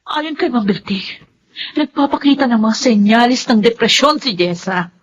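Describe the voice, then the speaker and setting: A woman playing a polite but outspoken young woman, earnest and expressive, with a Filipino accent, in a radio drama. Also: a little high, moderate pace, breathy edge, dull audio. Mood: upset, reproachful. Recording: noisy ambience behind.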